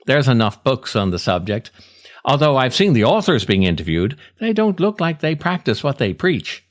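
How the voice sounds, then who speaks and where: A man's voice: medium pitch, sing-song, moderate pace. A man delivering a theatrical comic scripted monologue as a podcast host, wry and dramatic. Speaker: podcast host and writer, actor.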